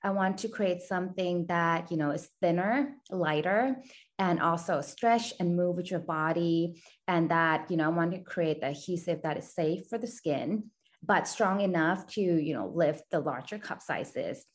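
Raised woman founder talking shop, lowish voice, lots of "you know". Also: unhurried. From Thailand. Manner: earnest, steady, many "you know" fillers. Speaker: brand founder, guest. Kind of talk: podcast interview.

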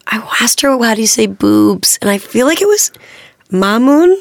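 A woman in her thirties chatting as a podcast guest, candid and quick to laugh, swearing freely. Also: on the high side, big pitch swings, gravelly, dry recording. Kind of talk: casual chat.

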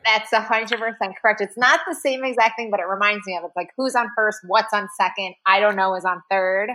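A woman's voice: medium pitch, fairly even intonation, fairly quick, sharp tone. A woman from Long Island with an American accent, chatting as a podcast guest and laughing often. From Long Island, New York. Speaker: podcast guest, business co-owner. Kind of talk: casual chat.

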